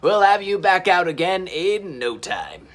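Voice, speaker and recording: A man's voice: high-pitched, big pitch swings, a little slow, fairly bright tone, voice pushed up, full character voice. Emphatic dinosaur boss, acted by a man. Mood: helpful, emphatic. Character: helpful, slightly worried male dinosaur boss at sea.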